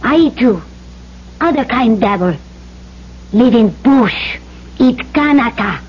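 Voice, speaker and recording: A woman playing a devoted, fearful island wife in an old-time radio drama, speaking halting pidgin English in short simple phrases. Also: on the high side, animated, slightly rough, exaggerated voice. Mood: grave, explaining. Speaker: actor in supporting part. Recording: muffled old radio recording.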